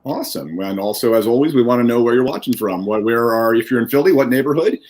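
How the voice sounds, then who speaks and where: A man's voice: mid-range voice, lively intonation, fast talker, darkish tone. A man hosting a live stream, restarting his sentences often as he talks. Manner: stop-start, restarting sentences.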